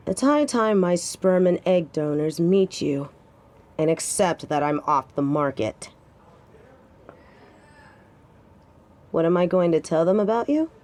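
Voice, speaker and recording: A woman's voice: lowish voice, darkish tone, close to an everyday voice. A woman playing a protective, stubborn young lover in an intimate audio drama, wry and conversational. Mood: wry, resolute. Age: young adult.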